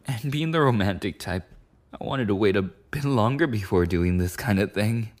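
A man's voice: lowish voice, animated, moderate pace, close to an everyday voice. A man playing a clingy, possessive yandere boyfriend in an intimate ASMR roleplay monologue, rambling and cooing tenderly with lapses into whispers. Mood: infatuated, eager.

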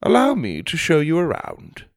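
A man voicing a snobbish, fancy headmaster in a children's storybook, with a haughty, precise, drawn-out delivery. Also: a little high, huge pitch swings, creaky, no room sound, voice pushed up, full character voice. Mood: sarcastic. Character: snobbish, fancy male headmaster of a manners school.

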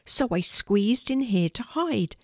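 A soft, squeaky little girl mouse, timid and a bit stammering, her voice pitched up high above an ordinary speaking voice, as a grandmother puts her on. She sounds close and dry, with no room around her, in a storybook reading. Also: animated, takes it slowly, dry recording, heavily acted. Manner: stammering, nervous.

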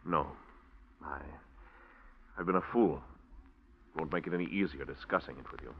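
An actor playing a betrayed husband in a 1940s radio drama, curt and clipped. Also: male voice, extremely low pitch, normal speed, slight background noise. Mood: stern. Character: stern, betrayed middle-aged husband. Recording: tinny old radio recording.

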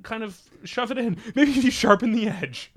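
A man's voice: very high-pitched, moderate pace, a bit bright, no echo, studio quality. A man hosting an improvised comedy podcast, asking mock-serious curious questions and laughing often. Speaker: podcast host.

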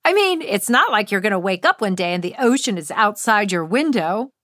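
A woman's voice: medium pitch, very animated, brisk, fairly bright tone, no room sound, clean studio sound. Woman acting confident, breezy glamorous female estate agent. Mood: breezy reassurance.

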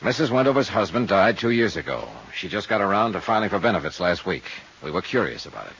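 An actor playing a laconic, world-weary investigator in 1950s radio drama, dry, clipped and matter-of-fact. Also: male voice, low-pitched, sing-song, fast, fairly bright tone, husky, exaggerated voice. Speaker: lead detective and narrator. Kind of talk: 1950s hard-boiled radio acting and first-person narration. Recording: dull old radio recording.

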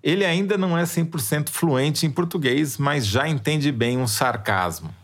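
A man's voice: fairly high pitch, moderate pace. A Brazilian man co-hosting a podcast from home, reading a listener email with dry, wry humor. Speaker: podcast co-host. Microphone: remote home mic.

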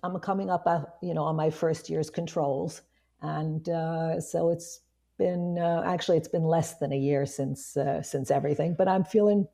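A woman in her fifties with a hoarse voice, a guest chatting casually in an interview, rambling with many restarts. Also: sits low, steady tone, moderate pace, mellow. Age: 50s. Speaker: guest, online marketing strategist.

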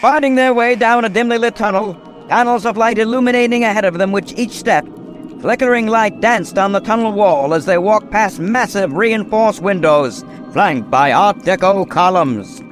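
A man's voice: high voice, moderate pace, soft background music. A man narrating an old-time radio mystery from a script in a theatrical, portentous manner.

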